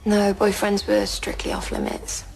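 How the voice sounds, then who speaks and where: A woman's voice: mid-range voice, monotone, measured pace, darkish tone, breathy edge, rough recording. An actress with an English accent playing a guarded, loyal teenage schoolgirl, reluctant as she answers questions in an audio drama. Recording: faint background ambience.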